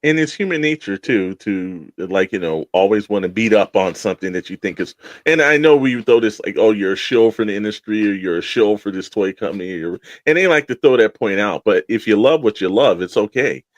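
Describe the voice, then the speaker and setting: A man's voice: on the low side, animated, a little fast, few pauses, dry recording, highs cut off. A man co-hosting a podcast in casual discussion, rambling and opinionated, with an emphatic way of talking. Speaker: podcast co-host.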